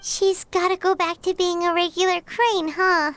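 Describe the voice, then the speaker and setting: A tiny childlike female fairy companion whose voice sits extremely high and squeaky. She talks in short, blunt, casual questions. The sound is close and dry, with no room around it. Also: level tone, normal speed, fairly bright tone, no room sound. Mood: curious.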